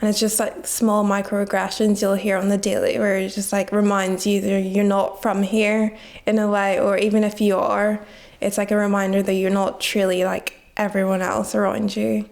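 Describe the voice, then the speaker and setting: Young woman with a gravelly, flat voice. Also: medium pitch, normal speed. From Nigeria. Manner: heavy use of "like" as filler, reflective. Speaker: guest. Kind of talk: casual interview.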